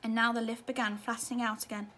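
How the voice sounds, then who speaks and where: A woman's voice: a little high, little pitch movement, fast, fairly bright tone. A woman narrating a children's storybook expressively, as a teacher reading at bedtime.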